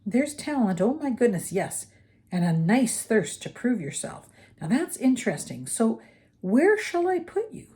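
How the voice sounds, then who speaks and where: A woman's voice: fairly high pitch, sing-song, mellow, pitched above the performer's own voice. A woman voicing an ancient talking magic hat that muses and asks sly questions, reading an audiobook. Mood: musing. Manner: musing, drawn-out, teasing questions. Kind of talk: audiobook character voice.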